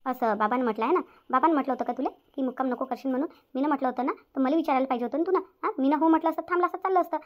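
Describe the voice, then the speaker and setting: A stern, self-pitying village mother-in-law from a melodramatic cartoon, played by a woman, nags in a high voice. She speaks extremely fast with a Vidarbha Marathi accent, piling up reproachful questions, and the sound is slightly dull and lo-fi. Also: close to an everyday voice. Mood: scolding, bitter. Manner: nagging, repetitive rhetorical questions. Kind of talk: melodramatic cartoon family drama. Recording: dull lo-fi audio.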